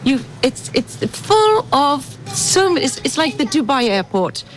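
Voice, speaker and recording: A woman's voice: high voice, sing-song, takes it slowly, a little hiss or hum. An English woman in her fifties, talking enthusiastically and chattily in a street interview, often restarting her sentences. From England.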